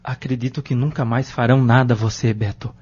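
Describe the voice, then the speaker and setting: Man acting a soft-toned, husky young man. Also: mid-range voice, fairly even intonation, a little fast, natural voice. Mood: wondering, worried. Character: Moacir, devout, worried young man. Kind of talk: audio drama acting. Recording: dull lo-fi audio.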